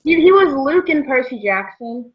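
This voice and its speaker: A young woman with a fairly high voice co-hosts a casual book chat. She speaks informally, as if among friends. The recording is a little dull and lo-fi. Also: animated, moderate pace. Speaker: book discussion show co-host. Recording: dull, lo-fi sound.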